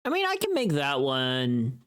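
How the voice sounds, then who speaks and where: A woman's voice: extremely low pitch, wildly swooping pitch, unhurried, clear, resonant tone. A woman livestreamer chatting casually in a playful, teasing, informal way, often thinking aloud and trailing off.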